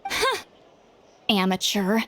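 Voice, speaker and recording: A woman's voice: mid-range voice, huge pitch swings, slow, fairly bright tone, slight background noise, full character voice. An actress playing a haughty, prickly shaman woman in a video game, brusque and emphatic with a scornful edge. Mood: indignant, scornful. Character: haughty, prickly shaman woman, an avid light-novel fan.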